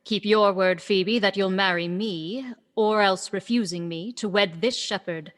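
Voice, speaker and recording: A woman playing a self-assured young woman disguised as a youth in a Shakespearean radio play, brisk and declamatory. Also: medium pitch, lightly acted. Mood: commanding. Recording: long echoing reverb.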